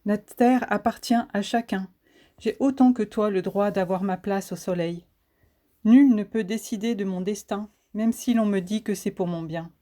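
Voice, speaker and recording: A woman recites spoken-word poetry in a warm, mellow voice of medium pitch. Her delivery is solemn and declamatory, with each phrase deliberately set out. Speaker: poet reciting own poem.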